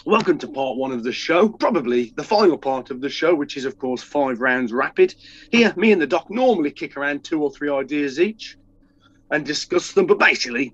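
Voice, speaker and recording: A man with a head cold co-hosts a casual podcast, his voice sitting a little high and sounding congested. He rambles and restarts his sentences often. The recording is dull and lo-fi. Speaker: podcast co-host. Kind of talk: casual chat.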